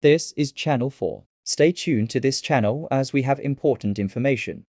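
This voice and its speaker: A man's voice: mid-range voice, normal speed, darkish tone, dry recording, clean studio sound. A man reading a scripted emergency announcement as a television continuity announcer.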